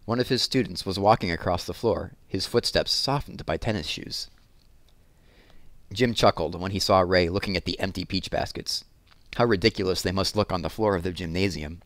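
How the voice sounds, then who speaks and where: Male storyteller for children's read-aloud; lower-pitched voice, dry close sound. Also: normal speed, fairly bright tone, no echo.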